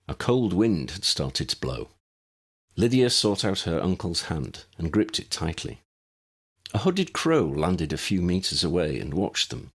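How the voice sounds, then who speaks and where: A man reading a story aloud in an expressive narrating style, with a gravelly, husky voice. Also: low voice. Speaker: audiobook narrator.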